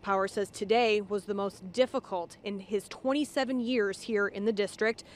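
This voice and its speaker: A woman's voice: medium pitch, fairly even intonation, normal speed. An American woman delivering a live local television news report outdoors. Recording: faint outdoor traffic noise.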